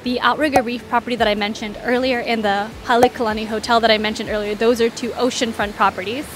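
A woman's voice: a little high, fairly even intonation, quick pace, a little hiss or hum. A woman hosting a travel vlog, narrating a walking tour in a casual, informative, conversational way, pointing things out in an orderly fashion. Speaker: travel guide and vlog host.

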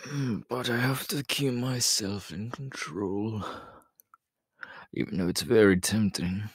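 A man playing a sly, teasing, menacing villain in an ASMR audio roleplay, breathy and often slipping into whispers, close on the microphone. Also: on the low side, no echo. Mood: flirtatious. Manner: slow, drawn-out, teasing. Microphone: close microphone, dry.

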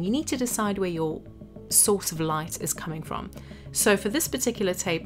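A woman narrating a step-by-step software tutorial as an instructor, explaining each action as she goes. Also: lowish voice. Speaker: graphic design tutorial instructor. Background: background music.

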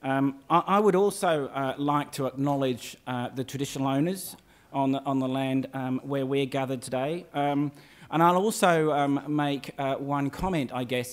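A man in his forties with a husky voice from a cold, giving a conference talk in a lecture theatre with many fillers and restarts. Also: medium pitch. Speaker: academic speaker. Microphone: lectern microphone.

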